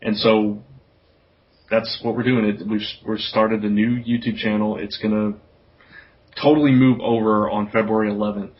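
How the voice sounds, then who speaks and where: A man's voice: on the low side, fairly even intonation, moderate pace. A man hosting a podcast in casual chat, talking in an informal, rambling way. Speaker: podcast host. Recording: dull, lo-fi podcast audio.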